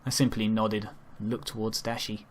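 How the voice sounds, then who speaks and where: A man narrating a first-person story dramatically, in an earnest, measured and subdued way, as an amateur reader. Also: fairly low pitch, level tone, slight background noise.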